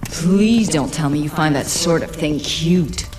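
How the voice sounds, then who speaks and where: A woman's voice: sits low, unhurried, over music. An actress playing a knowing, sardonic female demon spirit in a video game, with a sultry, mocking manner.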